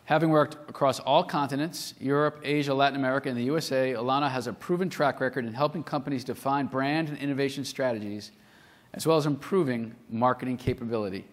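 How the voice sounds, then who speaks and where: A man introduces the speakers at a conference, reading their biographies in a formal, measured way. His voice sits at an average pitch. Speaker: event host introducing speakers. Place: conference hall.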